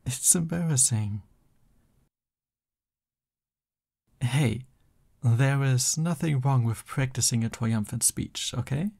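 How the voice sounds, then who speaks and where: A man acts out a boastful young male supervillain who is also a bashful, loving boyfriend in an ASMR roleplay. His mid-pitched voice has a gravelly creak and takes its time, moving between grand theatrics and flustered affection. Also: darkish tone. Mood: flustered, embarrassed.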